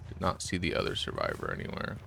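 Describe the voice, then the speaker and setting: A man's voice: very deep voice, fast, gravelly, slight background noise. A male streamer giving casual, rambling gameplay commentary with a nasal, congested voice, as if he has just woken up. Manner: rambling, relaxed, many fillers.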